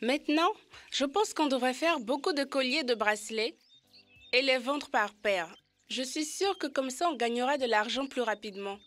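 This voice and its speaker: A woman's voice: high-pitched, moderate pace, a bit bright, faint music behind. A woman voicing a keen young elephant entrepreneur in a French educational children's cartoon. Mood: enterprising.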